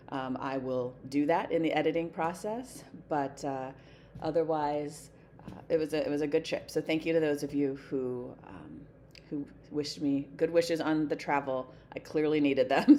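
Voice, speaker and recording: A woman with a hoarse voice chatting casually to camera at her desk, rambling with many fillers and light laughter. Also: low-pitched, warm tone, a little hiss or hum. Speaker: flosstube vlogger. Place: home desk.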